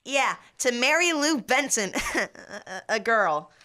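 A cheeky little boy in an old-time radio comedy, played by a teenage male. The voice is very high and bright, with the innocent needling of a kid brother. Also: big pitch swings, slow pace, clear, resonant tone, heavily acted. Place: school library, before a small live cast. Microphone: standing stage microphone.

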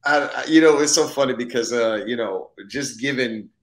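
A man's voice: medium pitch, normal speed. An American man in his thirties in casual conversation, informal and searching for his words, on a video call. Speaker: actor. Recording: echoey room on video call.

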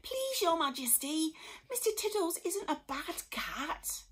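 A woman voicing an excitable young boy who exclaims loudly in a high voice. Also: unhurried, heavily acted. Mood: excited.

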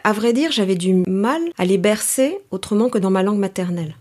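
A middle-aged woman, a coach, answering interview questions in a calm, measured way with frequent hesitations. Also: mid-range voice. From France. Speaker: coach, guest. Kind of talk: podcast interview.

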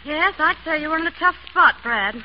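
High, animated, wry detective's wife. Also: female voice. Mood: wry, pointed. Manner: brisk, wry banter. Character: sharp, teasing, devoted wife of amateur sleuth. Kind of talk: 1930s radio drama acting. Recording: scratchy, dull old radio broadcast.

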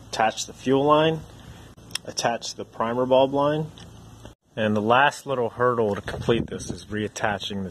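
A man's voice: medium pitch, a little hiss or hum. A man hosting a do-it-yourself channel, walking through a repair step by step in a plainspoken, practical way. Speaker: DIY repair channel host.

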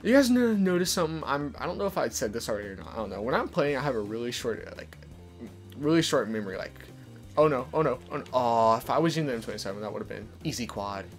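A man's voice: expressive, fast talker. A young man giving casual, chatty commentary over his gameplay, explaining tips as he plays. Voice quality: deeper voice. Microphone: desk condenser mic. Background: soft background music.

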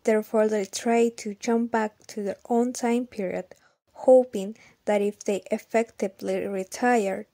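A woman playing a gentle character recounting an adventure in an audio drama. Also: mid-range voice, fairly even intonation, unhurried, close to an everyday voice. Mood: gentle storytelling.

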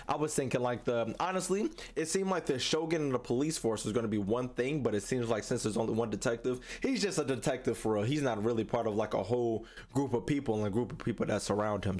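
A man's voice: mid-range voice, quick pace, few pauses, darkish tone. A young man giving casual, slangy reaction commentary as a video host, often repeating his phrases. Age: young adult. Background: game trailer music.

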